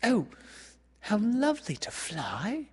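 A narrator voicing a well-mannered, excitable young girl in a storybook, prim and given to gushing exclamations. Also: mid-range voice, measured pace, slightly rough, long reverb tail, voice pushed up, full character voice. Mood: excited, gushing.

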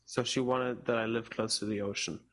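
A young man from Spain, raised in Portugal, whose first language is German, speaking as an interview guest in a reflective, halting way with frequent restarts. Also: fairly low pitch, fairly even intonation. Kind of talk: casual interview talk.

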